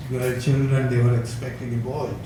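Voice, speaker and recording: An older man giving a conference talk, hesitant with many fillers and soft chuckles. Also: medium pitch, level tone, moderate pace. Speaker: academic speaker. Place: lecture hall. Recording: echoey hall, distant mic, noisy.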